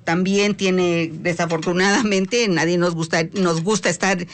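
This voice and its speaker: A woman with a Mexican accent hosting a live talk radio show. She chats freely, stretches some words and fills pauses with "eh". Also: lowish voice, steady tone. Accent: Mexican Spanish. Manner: chatty, drawn-out words, frequent "eh" fillers. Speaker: radio host.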